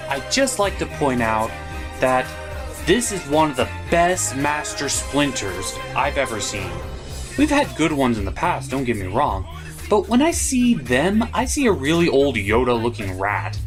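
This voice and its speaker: A man's voice: medium pitch, big pitch swings, unhurried, over music. A man narrating a scripted cartoon review as host, opinionated and emphatic.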